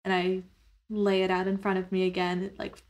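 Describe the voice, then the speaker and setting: A woman's voice: mid-range voice, monotone, fairly quick, a little mellow. A woman playing a tabletop roleplaying game, improvising her character's actions in the first person, casual and tentative as she thinks aloud.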